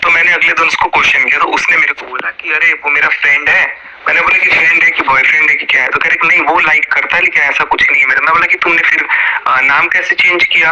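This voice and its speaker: Man, interview guest, rambling, slightly high voice, heavy background noise. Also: lo-fi sound. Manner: rambling, keeps checking in with "okay?". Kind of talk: casual explanatory talk.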